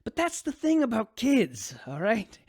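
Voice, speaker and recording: A woman voicing a relaxed, rebellious father of a teenage girl in a radio drama, talking in a lecturing way. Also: a little high, a little slow, breathy edge, no echo, clean studio sound, exaggerated voice. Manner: laid-back, lecturing.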